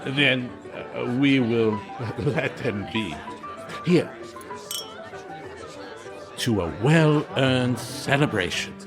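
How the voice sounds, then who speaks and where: An actor playing a genial, ceremonious middle-aged merchant hosting guests in an audio drama, with music underneath. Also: male voice, medium pitch, drawn-out words, long pauses. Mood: jovial, welcoming. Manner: hearty, ceremonious, chuckling. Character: jovial, genial wealthy merchant host, proud Monsieur Morel.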